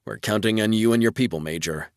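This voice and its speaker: A man reading as a commanding male starship commodore in a sci-fi audiobook. He speaks low and gives terse, clipped orders with resolve, in a dry sound. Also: fast talker, dry recording, lightly acted. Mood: grimly determined.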